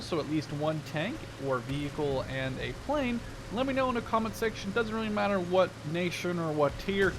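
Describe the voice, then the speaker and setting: A man in his twenties giving casual, chatty, playful gameplay commentary, often thinking aloud as he plays. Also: high voice. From Canada-based, Dutch. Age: 20s. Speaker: gaming video host. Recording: vehicle and game noise behind voice. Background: tank game sounds.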